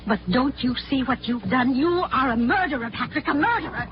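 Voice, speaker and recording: Distressed pleading wife, high voice, earnest, emphatic, vintage radio drama, dull sound with music. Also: female voice, animated, a little fast. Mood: anguished pleading. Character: anguished, pleading wife of a scientist. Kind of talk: 1940s radio drama acting. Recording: muffled 1940s radio recording.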